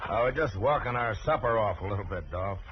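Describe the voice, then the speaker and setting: A low-pitched, steady frontier marshal played by a man in an old radio western. He is dry, weary and firm, wasting few words. The sound is muffled, with heavy hiss and noise. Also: brisk, lightly acted. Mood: calm. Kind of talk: 1950s radio western acting.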